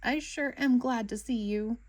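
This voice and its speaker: A woman voicing an excitable, naive, tenderhearted young male cartoon sponge student for a storybook, gushing and earnest. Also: fairly high pitch, a little slow, pitched above the performer's own voice. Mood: gushing, eager.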